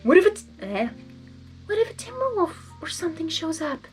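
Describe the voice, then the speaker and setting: A woman voicing a shy, gentle young female storybook pony, speaking softly and haltingly. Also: very high-pitched, very animated, faint music behind, voice pushed up. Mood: worried. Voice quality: soft, quiet. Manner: hesitant, halting.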